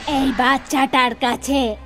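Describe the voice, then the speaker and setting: Casting: a demanding female witch spirit, high-voiced and drawn-out, out for revenge in a cartoon horror tale. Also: level tone, sharp tone, soft background music, heavily acted. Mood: vengeful, demanding. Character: vengeful female witch ghost haunting a child. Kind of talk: scripted horror cartoon story acting.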